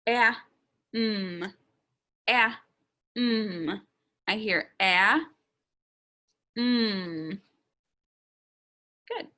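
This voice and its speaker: A young woman teacher recording a writing lesson for small children, sounding out each word slowly and stretching the letter sounds. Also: mid-range voice, pushed and bright.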